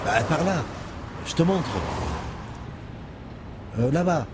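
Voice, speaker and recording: A man's voice: fairly high pitch, lively intonation, slow. A man playing a shifty street mugger in an audio drama. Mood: offhand. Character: shifty street mugger, casual then urgent. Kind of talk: amateur French audio drama. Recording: noisy street ambience.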